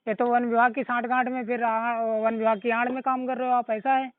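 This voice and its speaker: A man's voice: extremely high pitch, flat delivery, fairly quick, no room sound. A man with a North Indian accent from Uttar Pradesh, arguing on a phone call in an insistent, confrontational, lecturing way. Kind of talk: argumentative phone conversation. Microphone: phone line. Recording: tinny, narrowband recorded phone call.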